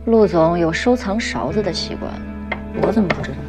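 Actress as a poised executive woman, fast and businesslike. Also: fairly low pitch, lively intonation, darkish tone, faint music behind, natural voice. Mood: cool. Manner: cool, businesslike.

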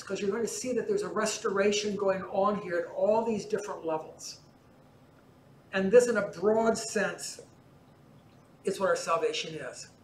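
Man lecturing in an echoing church. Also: sits high. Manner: relaxed explaining, gesturing as he talks. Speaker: teacher giving a parish class. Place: large church interior in front of icons. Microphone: far camera. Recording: roomy church with faint hum.

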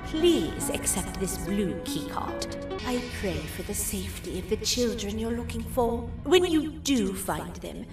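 A gracious animal queen from a game speaks with stately drama and wide swings of pitch. She is formal and motherly, in an echoing space. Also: animated, measured pace, recorded from a distance, over music. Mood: gracious, solemn. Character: regal, gracious kangaroo queen, theatrical and motherly. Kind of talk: scripted video game character lines.

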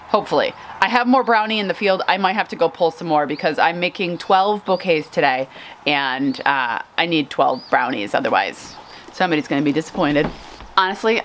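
A woman in her thirties hosts a casual vlog from a covered porch. She talks in an expressive, gushing way at an average pitch. Faint outdoor ambience sits behind her voice. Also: a little hiss or hum. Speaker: flower farmer and vlog host. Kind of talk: casual chatty vlog to camera.

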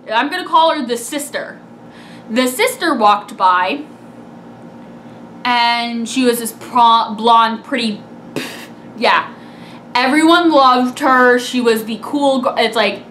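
Young woman vlogger, high-pitched and animated. Rambling storytime delivery to a camera in a small room, with faint hiss. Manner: animated, chatty, laughs often and digresses. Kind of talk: casual storytime chat. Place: small room, seated on a couch indoors.

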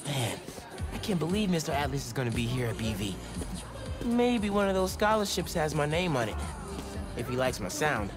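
A man's voice: fairly high pitch, sing-song, moderate pace. A young man playing an earnest, friendly teenage student superhero with an American accent in a video game. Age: young adult. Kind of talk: cinematic video game voice acting. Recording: game music loudly behind.

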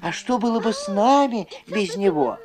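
Expressive woman voicing over a children's cartoon. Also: medium pitch, moderate pace. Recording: old film soundtrack with music. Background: clear background music.

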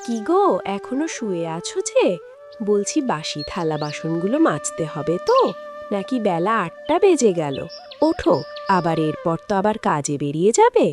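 A woman's voice: mid-range voice, huge pitch swings, moderate pace, over music. A woman playing a vain, lazy, bossy village wife in a cartoon, scolding and whining as she demands things. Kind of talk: exaggerated cartoon dialogue.

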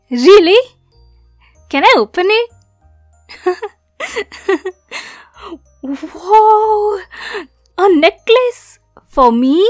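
Woman doing a sweet clingy yandere mermaid gf, asmr roleplay, really slow n coy, close dry mic w soft music behind. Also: extremely high pitch, dry recording, full character voice. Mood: excited, delighted. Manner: coy, giggly, often dropping into whispers. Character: sweet, naive, possessive yandere mermaid girlfriend. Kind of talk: roleplay ASMR, intimate girlfriend address.

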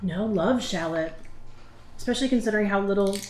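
A woman's voice: medium pitch, brisk, a little mellow, roomy sound, a little hiss or hum, rough recording. A woman in her thirties giving frank, opinionated food verdicts at a dining table. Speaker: guest taster. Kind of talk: casual chat. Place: dining room in a house.

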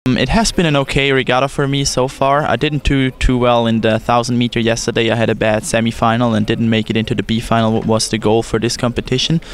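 A young man from Switzerland talks about his racing in a plain, matter-of-fact way. His voice sits at an ordinary middle pitch. He speaks into a handheld mic outside by the water. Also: flat delivery, fast talker, hardly any pauses. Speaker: canoe sprint athlete. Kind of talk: short interview answers. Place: outdoors by a lake course.

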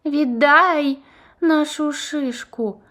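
A woman doing a hungry shouting squirrel. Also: high voice, very slow, pitched above the performer's own voice, heavily acted. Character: hungry eager female squirrel.

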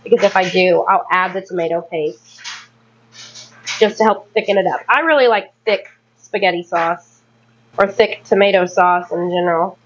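A woman's voice: fairly low pitch, fairly even intonation, normal speed, muffled recording, a little hiss or hum. A woman in her thirties chatting casually and rambling as she cooks in her home kitchen, correcting herself as she goes. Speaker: home cooking vlogger. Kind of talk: casual chat while cooking.

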